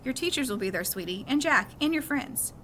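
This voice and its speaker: A woman's voice: high voice, lively intonation, fairly quick, bright, forceful tone. A woman voicing a caring, reassuring mother who coaxes and instructs her young son in a storybook reading, with noise behind her. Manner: coaxing, instructing. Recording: clearly noisy background.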